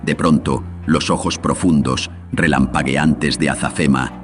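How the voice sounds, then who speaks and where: A man with an extremely deep, filtered voice narrates a horror tale. His delivery is solemn and theatrical, scripted like an old radio serial, over faint music. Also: steady tone, a little slow. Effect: voice processed with audio filters.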